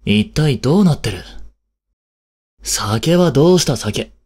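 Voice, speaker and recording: An actor playing a cold, haughty young prince in an audio drama, with a husky, breathy voice and a curt, imperious manner. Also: male voice, medium pitch, animated, a little slow, a little mellow. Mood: puzzled, irritated. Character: cold, haughty young prince scolding and fretting over his maid. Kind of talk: Japanese audio drama acting.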